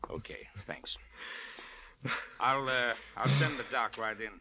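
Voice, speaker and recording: An actor playing a calm, reassuring police lieutenant in a 1940s radio drama. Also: male voice, mid-range voice, slow pace, gravelly, exaggerated voice. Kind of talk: 1940s radio suspense acting.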